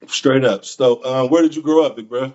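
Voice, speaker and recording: A man's voice: mid-range voice, moderate pace, darkish tone, dull audio. A man hosting a casual interview, talking in respectful slang and often adding "you know what I'm saying.". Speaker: interviewer, host.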